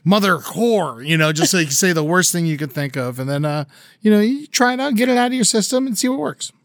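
A man co-hosting a comedy podcast, rambling through crude, profane stories. his voice sits a bit high for a man, and the sound is close and dry with no room around it. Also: lively intonation, a little fast, no echo. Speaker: co-host running the game. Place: podcast studio.

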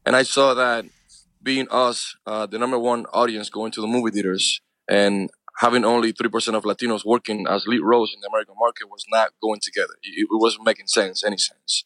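A quick-talking man around forty with a Cuban accent, his first language Spanish. He talks with earnest conviction, dropping "man" and "you know" constantly, and the sound is close and dry with no room around it. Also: on the low side, steady tone, a bit bright, dry recording, poor audio. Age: 40s. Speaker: actor and filmmaker guest. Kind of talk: casual interview talk.

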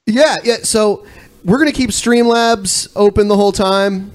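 A man's voice: sits high, a little slow. A man hosting a live-streamed podcast in casual chat, blunt and often profane. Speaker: podcast host.

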